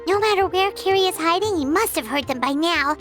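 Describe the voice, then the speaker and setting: A woman voicing a small, chirpy, earnest childlike companion in a video game, with a squeaky voice. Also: animated, a little fast, over music. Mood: upbeat.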